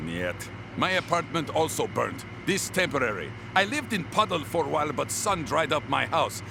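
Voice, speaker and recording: Cartoon russian man, russian accent, gravelly, deadpan, broken english, wide-ranging pitch. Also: moderate pace, noisy background. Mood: gloomy. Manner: deadpan, morose, clipped broken grammar. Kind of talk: animated sitcom voice acting.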